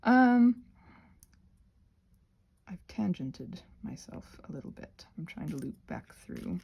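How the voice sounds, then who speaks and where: A woman hosting a craft channel in a casual ramble, playful and whimsical, drifting onto tangents and talking to herself, with a slightly husky voice. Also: fairly low pitch, big pitch swings, takes it slowly, a little mellow, a little hiss or hum. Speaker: host of a craft and ASMR channel.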